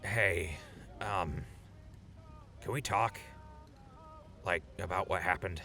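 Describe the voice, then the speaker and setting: A man playing a gruff but awkward, apologetic old buddy in an audio drama, gravelly and hesitant. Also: deep voice, slow, bright, forceful tone, faint music behind, exaggerated voice. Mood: nervous, hesitant.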